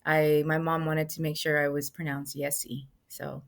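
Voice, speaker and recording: A middle-aged woman, a podcast guest, speaking conversationally and lively, slipping Spanish phrases into her English. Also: deep voice, moderate pace, creaky. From United States, of Mexican parents. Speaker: podcast guest, music manager. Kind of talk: conversational interview answers.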